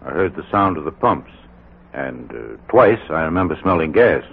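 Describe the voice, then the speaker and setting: An actor playing a hesitant, distracted married man in a 1940s radio drama, speaking haltingly. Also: rumbling low, very animated, gravelly, breathy edge, full character voice. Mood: thoughtful recalling. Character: wealthy married kidnap victim, hesitant and distracted. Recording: narrow-band 1940s radio recording.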